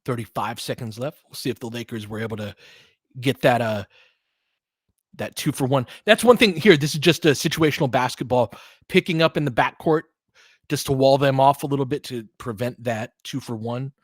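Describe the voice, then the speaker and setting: Male basketball commentator, mid-pitched, informal and slangy, breaking down tactics as play goes on. Dry, close recording. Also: normal speed, no room sound. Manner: conversational, slangy, explains tactics in detail. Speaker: play-by-play announcer and analyst.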